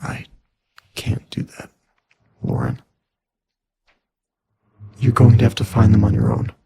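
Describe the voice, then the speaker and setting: An actor voicing a mysterious, gentle shadow spirit in an audio drama, with a breathy, raspy, soft-spoken and measured delivery. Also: male voice, fairly low pitch, sing-song, heavily acted. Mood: regretful, secretive.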